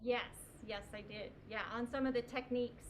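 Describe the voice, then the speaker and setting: Higher-voiced woman, faint background noise. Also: fairly even intonation, moderate pace. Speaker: music director and violist. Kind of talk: casual conversation. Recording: faint ambient noise on video call.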